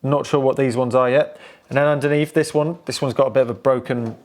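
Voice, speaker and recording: A man's voice: medium pitch, fairly even intonation, fairly quick, a little mellow. A man giving a casual, unscripted walkthrough of old equipment, thinking aloud hesitantly with playful, informal slang. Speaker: presenter showing and restoring old technology.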